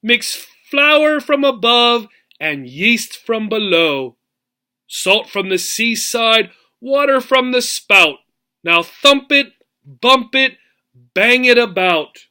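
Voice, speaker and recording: An eager storybook baker acted out by a man reader, pitched high with a bright, forceful edge. He exclaims theatrically and takes his time over each phrase. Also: animated, slow pace, smooth, heavily acted. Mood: bossy, instructing.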